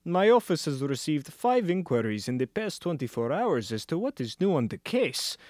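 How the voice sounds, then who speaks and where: A man's voice: on the high side, big pitch swings, a little mellow, no echo, studio quality. A man playing a pompous, anxious Soviet bureaucrat boss in a radio comedy. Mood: pompous, worried. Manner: pompous, measured.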